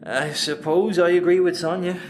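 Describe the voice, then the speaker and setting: A man's voice: sits high, steady tone, moderate pace, a little hiss or hum, lightly acted. An actor with an Irish accent playing a hapless, blundering spaceship crewman in an audio comedy, casual and blunt. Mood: bemused. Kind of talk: audio comedy drama acting.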